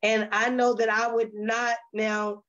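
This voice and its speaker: A woman with a fairly high voice talks casually. She feels her way through her thoughts out loud, with some hesitation. The recording is dull and lo-fi. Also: level tone, slow. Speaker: stage play cast member being interviewed. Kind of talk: casual conversational talk. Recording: dull, lo-fi sound.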